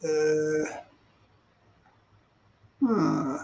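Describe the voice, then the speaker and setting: A man's voice: on the high side, drawn-out words, vocal fry, darkish tone. A man talking casually on a live stream, rambling and repeating himself as he answers viewers' comments. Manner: rambling, repetitive, reads viewer comments aloud. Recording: dull lo-fi stream audio.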